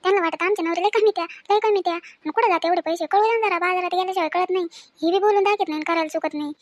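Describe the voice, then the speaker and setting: A woman playing a nagging, quick-tongued village wife full of grievances in an acted family story, scolding in a colloquial rural Maharashtrian accent. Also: very high-pitched, steady tone, dry recording. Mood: insistent, grumbling.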